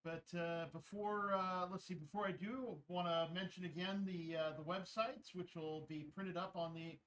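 High-voiced man hosting, full of fillers. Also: steady tone, fairly bright tone, rough recording. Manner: rambling, many filler pauses. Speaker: podcast host. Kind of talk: casual chat.

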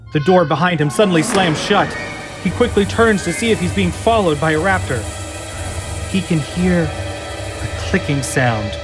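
A man reading stage directions as narrator of a fan audio drama in an even, descriptive way. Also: fairly high pitch.

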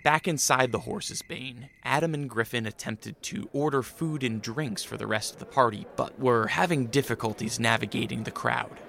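A man's voice: medium pitch, normal speed, gravelly, few pauses, bright, forceful tone, a little hiss or hum. A man reading scripted narration as the narrator of a story.

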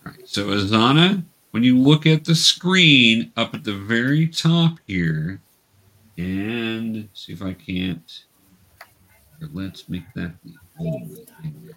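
A man's voice: on the low side, lively intonation, takes it slowly, gravelly, a bit bright. A man speaking as a patient game master, casually explaining and narrating a tabletop game for young players over an online call. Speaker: game master teaching children tabletop roleplaying.

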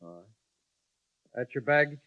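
A man's voice: medium pitch, animated, moderate pace, sharp tone. An actor playing a surly, suspicious frontier henchman in a 1950s radio western, curt and menacing, with an American western accent. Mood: hostile, curt. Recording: muffled vintage radio broadcast.

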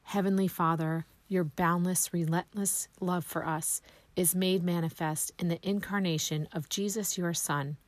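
A woman with a raspy, hoarse voice from a cold, leading a prayer and then sharing her personal testimony softly and hesitantly. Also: on the low side, fairly even intonation, unhurried, no room sound. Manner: soft, hesitant, full of ums and restarts.

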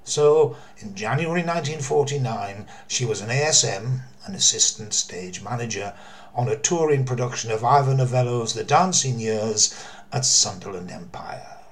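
An older man with a mid-pitched voice narrates a mystery as a storyteller. His delivery is theatrical and deliberate, leaning on key words and pausing for drama. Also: expressive. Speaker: storyteller, former theatre worker.

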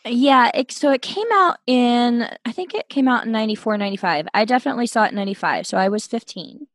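A woman in her late thirties, casually telling a story as a podcast guest from her bedroom, chatty and quick to laugh. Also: fairly high pitch, expressive, no room sound, clean studio sound. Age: 30s. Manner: chatty, giggly, self-deprecating.

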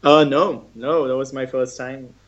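A young man from India, a guest in a casual interview, relaxed and chatty, laughing often. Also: mid-range voice, measured pace, highs cut off.

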